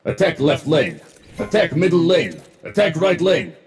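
A man's voice: a little high, sing-song, unhurried. A man voicing a smug, wisecracking futuristic mech-suit warrior for a video game, in punchy, boastful lines. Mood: commanding. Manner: boastful, punchy, clipped callouts. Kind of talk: video game voice pack barks.

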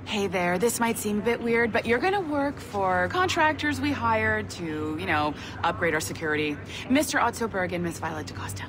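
A woman's voice: mid-range voice, expressive, moderate pace, few pauses, lightly acted. Woman doing a super peppy office boss, bouncy sing-song voice, noisy bg. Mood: chipper. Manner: upbeat, peppy, forced cheer. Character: chipper overachieving female office boss. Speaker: game character.

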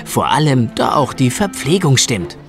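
A man's voice: mid-range voice, sing-song, normal speed, soft background music. A man narrating a scripted children's audio play as its storyteller.

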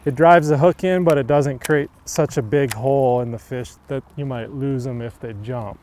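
A man's voice: medium pitch, normal speed. An easygoing man in his thirties explains how to fish from an open boat on a lake. His voice sits mid-range and he leans on casual fillers. A lapel mic picks him up, with a faint motor and wind noise underneath. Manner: relaxed, easygoing, many fillers. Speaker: pro bass angler. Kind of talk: on-the-water fishing instruction.

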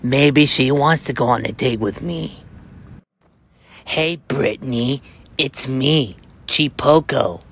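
A man voicing a hot-tempered, clingy young male frog in a homemade skit, whiny and quick to threaten. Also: medium pitch, gravelly, fairly bright tone, breathy edge, exaggerated voice. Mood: lovestruck.

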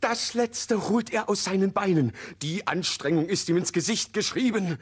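Aufgedrehter, hoher Sportreporter. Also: male voice, high voice, lively intonation, moderate pace, breathy edge. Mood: frantic, triumphant. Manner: breathlessly dramatic, florid. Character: excitable sports reporter following a fairy-tale race. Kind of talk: parody live sports commentary in radio play.

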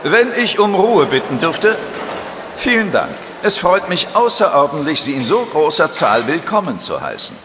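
A showy, courteous auctioneer in a radio play. He announces formally with animated pitch. His voice rings through a reverberant hall with faint noise. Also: normal speed. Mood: welcoming. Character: courteous, showy auctioneer addressing a full room. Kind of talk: formal public announcing, German audio drama.

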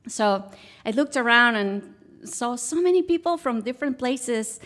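A woman from Guatemala shares a personal story at a live show. Her voice sits a little high, and her English carries a Guatemalan Spanish accent. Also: lively intonation, measured pace, fairly bright tone. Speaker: guest storyteller.

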